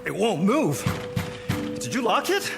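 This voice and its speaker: An actor playing a troubled, quick-tempered young man in a radio drama, hesitant, brooding and intense. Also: sits high, sing-song, gravelly, over music, full character voice. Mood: anxious.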